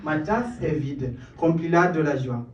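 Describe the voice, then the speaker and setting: A man's voice: on the high side, lively intonation, a little slow, lo-fi sound, very noisy. A young man from Kenya, an English speaker, performing spoken-word poetry at an open mic with a rhythmic, building delivery and self-deprecating asides. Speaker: performance poet. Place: small stage in a cellar venue. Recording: roomy, distant live room sound.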